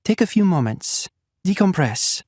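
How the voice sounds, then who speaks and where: Man doing a calm clinical woman scientist, slight French accent, raised pitch, dry sound. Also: unhurried, husky, no echo, exaggerated voice.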